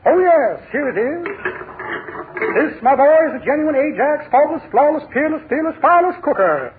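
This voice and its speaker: An actor playing a smooth-talking, pompous male con-man salesman with flowery patter, in 1940s radio comedy style. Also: extremely high pitch, big pitch swings, normal speed. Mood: salesy. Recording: scratchy narrow 1940s radio broadcast.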